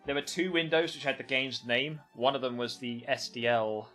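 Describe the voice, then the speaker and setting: A man's voice: mid-range voice, normal speed, pushed and bright, soft background music. A man streaming and commenting casually on games, with offhand, meandering remarks. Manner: offhand, meandering, muttering asides.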